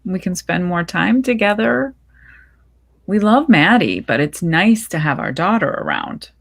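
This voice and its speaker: A woman reading the part of a gentle, affectionate middle-aged mother in a short story, soothing and reassuring. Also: on the low side, big pitch swings, unhurried, exaggerated voice. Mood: comforting. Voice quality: husky, creaky, gentle.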